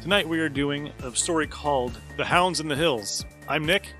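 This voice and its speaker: Male podcast host, fast, forceful bright tone, introducing a show, faint music behind. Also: fairly high pitch.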